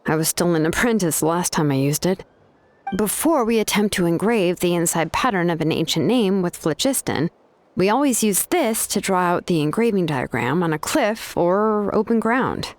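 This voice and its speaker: A woman's voice: on the low side, lively intonation, normal speed, close to an everyday voice. A woman playing a laid-back but exacting young craftswoman in a video game, explaining things in a casual, matter-of-fact way.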